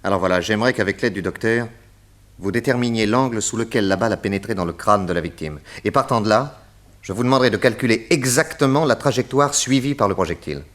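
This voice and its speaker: An actor playing a shrewd, confident detective in a French radio mystery play, courteous and deliberate as he explains and probes. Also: lowish voice, a bit bright, natural voice. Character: shrewd, theatrical male detective, confident and probing.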